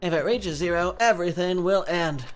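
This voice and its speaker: A woman's voice: fairly low pitch, normal speed, lightly acted. A woman voicing a mocking robot bear villain from a video game, theatrical and taunting, with music behind. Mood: ominous gloating. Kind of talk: live dubbing of visual novel dialogue.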